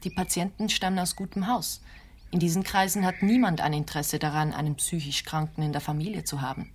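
Woman acting a careful clinic employee, slightly low voice, earnest, matter-of-fact, radio drama dialogue. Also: fairly even intonation, fairly quick, slight background noise, close to an everyday voice. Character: cautious, concerned female clinic carer, matter-of-fact and naive. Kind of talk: German-language radio play acting.

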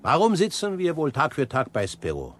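Animated, opinionated gentleman cynic. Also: fairly high pitch, normal speed. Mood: scornful, lecturing. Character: worldly, cynical gentleman, decisive and opinionated diner. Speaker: actor in a radio drama. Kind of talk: German radio play acting.

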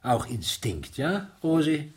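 An actor playing a world-weary middle-aged widower in a radio drama, husky, blunt and sardonic, suspicious yet gruffly consoling. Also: male voice, a little high, sing-song, takes it slowly, a little mellow, heavily acted. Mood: suspicious, accusing. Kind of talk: German radio drama acting, naturalistic dialogue.

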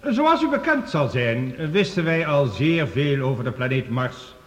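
An actor playing an earnest male scientist giving a measured, formal research report in a radio play. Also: medium pitch, sing-song, a bit bright. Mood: factual, earnest. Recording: reverberant hall, radio play production.

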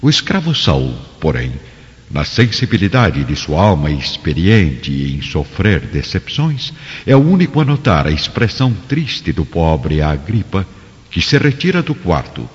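A man's voice: deep voice, very animated, measured pace. A man narrating a radio drama as a solemn storyteller, florid and theatrical in delivery. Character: solemn storyteller of an ancient Roman saga. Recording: dull recording with long reverb.

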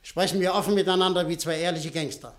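A man's voice: sits high, fairly even intonation, quick pace, lightly acted. A man playing a blunt, curt tycoon. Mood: curt sarcastic. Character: blunt wealthy male industrialist. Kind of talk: radio drama acting.

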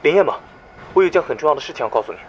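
A man's voice: fairly high pitch, expressive, rapid-fire, highs cut off, slight background noise, natural voice. A man acting a concerned young friend giving an urgent warning, in an audio drama.

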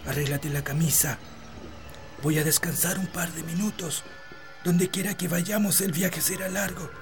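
An actor with a Chilean accent playing a weary, resigned peasant father in a radio drama, speaking gently. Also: a little high, fairly even intonation, normal speed, music in the background, lightly acted. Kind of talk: Spanish-language radio drama acting.

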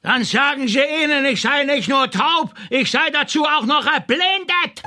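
An actor playing a gruff, stubborn deaf composer in a comic radio play, curt and declamatory. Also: male voice, extremely high pitch, measured pace, music in the background. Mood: gruff, defiant.

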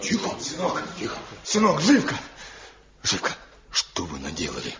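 An actor playing a distressed, kindly old village father, halting and lamenting, in a Soviet radio drama. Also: mid-range voice, huge pitch swings. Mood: pleading, grieved. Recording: dull echoey vintage radio recording, crowd.

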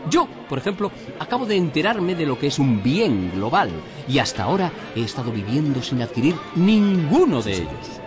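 A stubborn, contrarian blind man in a surreal radio drama. He speaks with theatrical courtesy and irony in a fairly high, highly animated voice with a Castilian accent, over clear music. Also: moderate pace. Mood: ironic. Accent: Castilian Spanish. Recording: music under the dialogue.

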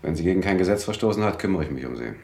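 In a reverberant room, a gruff lawman speaks in a radio drama. His deep voice swings widely in pitch. He is sardonic and needling with his old acquaintance. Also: animated, racing, darkish tone. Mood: gruff, mocking. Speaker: police officer.

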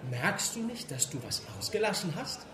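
An actor playing a hearty, boozy tavern patron in a radio drama, talking down to a child. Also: male voice, sits high, big pitch swings, moderate pace, crowd noise. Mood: teasing, coaxing. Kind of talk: German radio drama acting. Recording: echoey room with music behind.

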